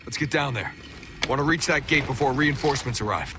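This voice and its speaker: An actor playing a hard, commanding military squad leader in a video game. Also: male voice, medium pitch, brisk, vocal fry, background noise, lightly acted. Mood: grim. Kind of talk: dramatic video game acting.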